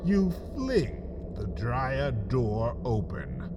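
A man narrating a scripted comic story in the second person, theatrical and melodramatic, with dramatic pauses. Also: mid-range voice, wildly swooping pitch, dull audio, background noise. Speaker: writer and narrator of a comedy story podcast.

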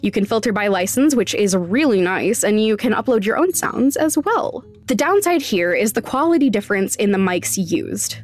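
A woman's voice: medium pitch, expressive, normal speed, few pauses, soft background music. A young adult woman hosting a podcast, narrating conversationally in a candid, informal, wryly self-deprecating way. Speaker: podcast host and sound designer.

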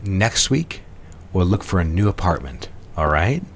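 A suave, low-voiced fiancé. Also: male voice, very animated, unhurried, slight background noise, exaggerated voice. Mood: ardent, persuasive. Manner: coaxing, endearment-laden speech. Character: suave, ardent male fiancé, sweet-talking and secretive. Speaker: actor in an audio drama. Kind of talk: intimate period audio drama acting.

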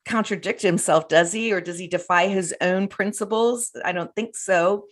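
A woman's voice: medium pitch, moderate pace. A woman in her forties, an ordained pastor hosting a faith podcast interview, earnest and asking leading questions.

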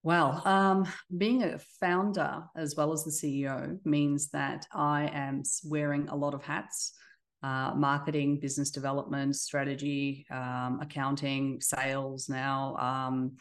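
A woman's voice: extremely low pitch, flat delivery, a little slow. A middle-aged woman with an Australian accent, a company CEO chatting as a guest on a podcast, friendly and full of fillers. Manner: friendly, with fillers and short laughs. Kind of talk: casual interview chat.

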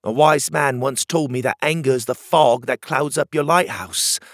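Man voicing an earnest turtle hero, pitched high. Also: normal speed, a bit bright, no room sound, clean studio sound. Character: wise, earnest turtle hero, kindly advice giver.

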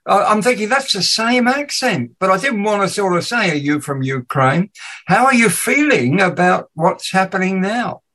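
A man's voice: sits high, very animated, moderate pace, bright, forceful tone. English-Danish accented man hosting a chat. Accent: English with Danish mix. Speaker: podcast host. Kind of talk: casual chat.

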